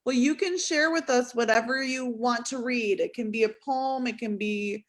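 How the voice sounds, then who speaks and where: A woman's voice: on the high side, moderate pace. A woman hosting an online open mic, casually inviting a guest to introduce himself.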